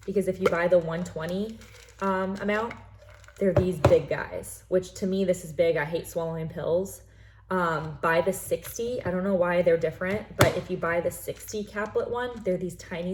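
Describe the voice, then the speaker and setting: A woman's voice: fairly low pitch, a little mellow. A young woman from the United States chatting casually through her bag in a vlog, rambling with lots of fillers and sounding congested from allergies. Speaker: lifestyle vlogger.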